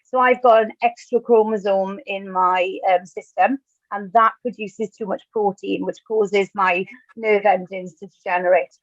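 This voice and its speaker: A woman's voice: mid-range voice, fairly even intonation, measured pace, no room sound, highs cut off. A Welsh woman in her thirties, telling her own story in a chatty, self-deprecating way at an online event, with light asides. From Wales. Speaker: webinar speaker. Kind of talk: personal talk at an online event.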